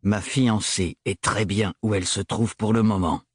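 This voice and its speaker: A man voicing a cold, stiff male steward who speaks in a flat, icy, rigid tone. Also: lowish voice, normal speed, breathy edge, no room sound, studio quality.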